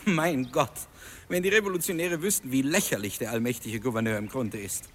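A man's voice: medium pitch, a little fast, a little hiss or hum, lightly acted. A man playing a resentful young student in a radio drama, the rebellious son of a governor, speaking with bitter emphasis. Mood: agitated, resentful. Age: young adult. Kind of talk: German radio drama acting.

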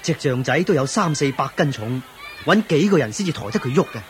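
An actor playing a dutiful court official in a radio drama, formal, declamatory and deferential. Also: male voice, on the high side, lively intonation, a little fast, slight background noise, natural voice. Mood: measured, worried. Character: dutiful court official announcing royal contest results.

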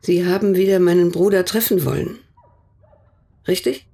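A stern older mother, husky. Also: lowish voice, little pitch movement, moderate pace, warm tone. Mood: cool. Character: stern older mother of three grown sons. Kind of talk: radio drama acting.

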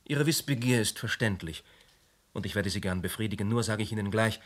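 An actor in a radio play playing a guarded, dignified man under police questioning who speaks in a measured way. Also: lowish voice, close to an everyday voice. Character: reserved middle-aged man being questioned, guarded and dignified. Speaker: suspect Monsieur Cernik.

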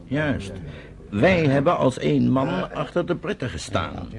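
A man's voice: fairly high pitch, big pitch swings, measured pace, gravelly, a crowd in the background, heavily acted. An actor playing a stern chairman of a secret meeting, oratorical and emphatic, in a Dutch radio play. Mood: grave, indignant.

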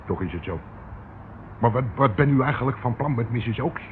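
An actor playing a shifty, hesitant small-time crook with colloquial speech in a Dutch radio play, on a muffled, noisy old recording. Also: male voice, medium pitch, animated, brisk, close to an everyday voice. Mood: indignant.

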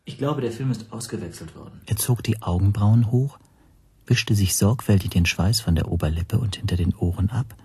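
A man's voice: lowish voice, normal speed, a little mellow, husky. An actor playing an anxious stateless man who narrates his own ordeal in a brooding, self-questioning way for a German radio drama. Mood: wary. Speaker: first-person narrator and protagonist.

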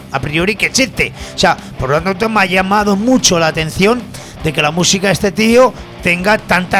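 A man's voice: high voice, a bit bright. A man with a Spanish accent from Spain hosting a music show, chatty, colloquial and jokey, bantering casually as he presents. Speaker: music radio show host. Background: guitar music.

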